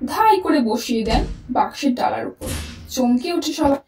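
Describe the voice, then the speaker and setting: A woman narrating a dramatised suspense story in an expressive, theatrical way. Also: high voice, moderate pace, echoey room, recorded from a distance, slight background noise. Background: faint music.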